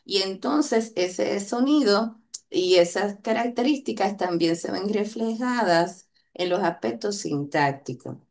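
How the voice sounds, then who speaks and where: A woman's voice: mid-range voice, lively intonation, measured pace, vocal fry, darkish tone. A Puerto Rican woman, a linguistics researcher, explaining her findings unscripted, with many hesitant fillers and questioning tags seeking agreement. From Puerto Rico. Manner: hesitant, frequent "eh" fillers and "¿verdad?" tag questions.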